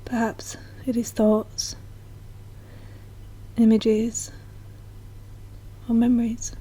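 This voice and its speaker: A woman leads a guided meditation in a breathy, mellow voice. Her tone sits a little high and stays very even, with little rise or fall. She speaks softly and soothingly, with an unhurried delivery. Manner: soft, slow, soothing delivery.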